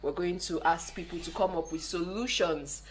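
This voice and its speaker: Nigerian lady being interviewed on radio, lowish voice, explains stuff, says you know a lot. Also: normal speed, low-quality recording. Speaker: interview guest, tech programme organiser. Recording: clearly audible background noise.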